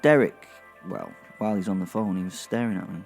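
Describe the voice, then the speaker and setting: A man's voice: sits low, fairly quick, creaky, a little mellow. A man playing a restless, mischievous werewolf, narrating wryly. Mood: sardonic. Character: cocky, restless, mischievous male werewolf killer. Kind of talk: first-person narration in horror comedy audio drama. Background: music.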